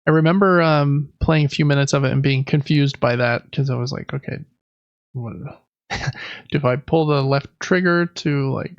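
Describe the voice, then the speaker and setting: A man's voice: mid-range voice, fairly even intonation, moderate pace, clear, resonant tone, no echo, muffled recording. A man co-hosting a podcast, chatting casually about video games in an easygoing, tentative way. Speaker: podcast co-host.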